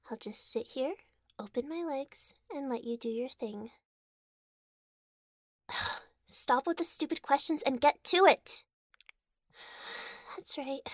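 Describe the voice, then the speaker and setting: Girl doing a bossy girlfriend, kinda high voice, super dry close mic, orders you around. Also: no room sound. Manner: commanding, scolding, teasing. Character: bossy, domineering young woman, an angry girlfriend. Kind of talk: audio roleplay.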